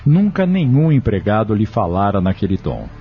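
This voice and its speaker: A man's voice: lowish voice, big pitch swings, normal speed, breathy edge. A man narrating a scripted audio novel in a dramatic storytelling style. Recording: dull, radio-like lo-fi audio. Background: soft music.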